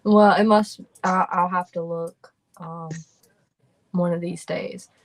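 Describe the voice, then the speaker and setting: A woman's voice: fairly low pitch, takes it slowly, rough recording. Laid-back young woman streamer. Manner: relaxed, drawling, chatty. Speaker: streamer and host. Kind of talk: casual livestream chat.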